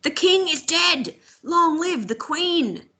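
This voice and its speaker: Lady doing a frail old crone from a storybook, high pitched, loud strained chanting, defiant. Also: animated, slow pace, full character voice. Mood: defiant shouting. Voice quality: scratchy, breaking.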